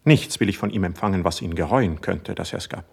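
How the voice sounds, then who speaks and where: A sensitive, proud court poet in a classical radio drama. His low, husky voice delivers verse with formal, measured care. He sounds wounded and stubborn beneath the courtly phrasing. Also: a little mellow, heavily acted. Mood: proud, insistent. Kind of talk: classical German stage verse in a radio play.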